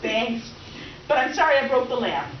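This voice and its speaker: A woman's voice: fairly high pitch, sing-song, moderate pace. A woman acting a vivacious, needy middle-aged houseguest who rambles and gushes in a comic readers theater play. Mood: gushing. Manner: gushing, rambling, dramatic, oblivious. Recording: roomy, distant, dull, noisy stage recording.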